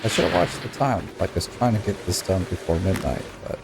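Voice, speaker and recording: A man's voice: low-pitched, very animated, brisk, creaky, noisy background. A man from Utah giving casual, rambling commentary as he plays a video game, muttering at it as he goes. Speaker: gaming YouTuber. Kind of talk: casual let's-play commentary.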